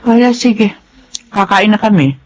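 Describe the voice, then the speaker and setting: A woman acting a brisk, concerned mother in a Tagalog radio drama. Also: mid-range voice, lively intonation. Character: brisk, calling mother of a grown daughter.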